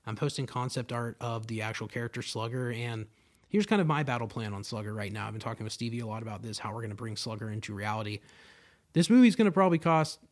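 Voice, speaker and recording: A man's voice: medium pitch, quick pace. A young man hosting his own podcast, talking in a loose, rambling monologue full of fillers and swearing, into a podcast microphone. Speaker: podcast host. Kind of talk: casual solo podcast monologue.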